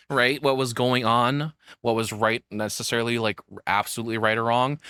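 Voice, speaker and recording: Bright-voiced man streaming casual reactions. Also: medium pitch, dry recording, clean studio sound. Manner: rambling, frequently swearing. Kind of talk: casual live reaction commentary.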